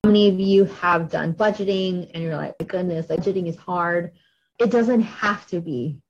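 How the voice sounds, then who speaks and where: Host: upbeat talkative woman, low-ish pitch, lo-fi recording. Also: normal speed. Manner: bubbly, chatty, many fillers. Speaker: podcast host. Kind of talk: podcast interview. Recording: dull lo-fi audio.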